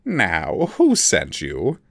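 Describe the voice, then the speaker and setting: A man voicing a pompous, cruel academic interrogator in an audiobook, speaking slowly with a sneering, purring delivery. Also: low voice, wildly swooping pitch, vocal fry, a bit bright, dry recording, clean studio sound, exaggerated voice. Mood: cold, demanding. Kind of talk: audiobook character voice.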